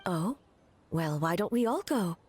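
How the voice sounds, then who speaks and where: An actress playing an ancient, regal ruler deity in a video game, speaking in a measured, formal way. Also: female voice, fairly low pitch, expressive, darkish tone. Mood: composed. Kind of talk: anime-style video game voice acting.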